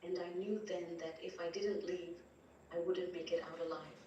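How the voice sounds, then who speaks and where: A very reverberant, distant recording with faint noise carries a woman playing a battered, grieving wife. Her voice is fairly low as she recounts her ordeal. The storytelling is hushed, dramatic and full of dread. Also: fairly even intonation, normal speed, muffled recording, lightly acted. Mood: haunted, fearful. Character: terrified, grieving young wife recounting abuse from beyond death. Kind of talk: first-person dramatic story narration.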